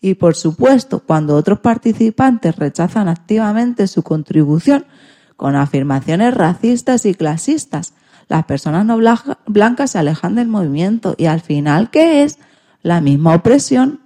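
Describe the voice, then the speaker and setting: A woman's voice: mid-range voice, big pitch swings, measured pace, mellow. A woman speaking as a presenter on a radio programme. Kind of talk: radio talk.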